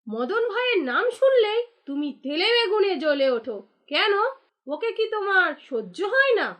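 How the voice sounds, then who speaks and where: An extremely high-pitched village wife from a cartoon, acted by a woman. She scolds righteously, nagging with sarcasm and a sharp tongue. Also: big pitch swings, normal speed, exaggerated voice. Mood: scolding, reproachful. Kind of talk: cartoon dialogue acting.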